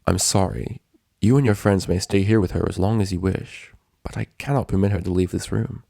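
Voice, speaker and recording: A man's voice: low voice, brisk, creaky, warm tone, no echo, clean studio sound. A man voicing a formal male pony doctor, stiff, blunt and businesslike. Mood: stiffly firm.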